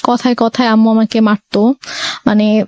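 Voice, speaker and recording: High-voiced Bangladeshi woman telling her story. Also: flat delivery, moderate pace, darkish tone. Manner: mixes English words into speech. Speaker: interview guest. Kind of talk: personal life-story narration.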